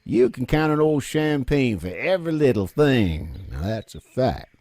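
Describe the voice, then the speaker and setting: An actor playing a rough but obliging old dockside man in a video game, with rustic, colloquial speech. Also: mid-range voice, very animated, unhurried, darkish tone, no echo, clean studio sound. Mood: jovial, assured. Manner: rustic, colloquial, nonstandard grammar. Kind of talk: video game cutscene acting.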